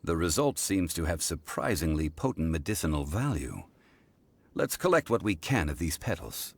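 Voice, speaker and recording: Learned game gentleman: low voice, expressive intonation, formal, composed, measured explanations. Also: exaggerated voice. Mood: pensive. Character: refined, knowledgeable, composed gentleman scholar of old traditions. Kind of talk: video game voice acting. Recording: music behind the voice.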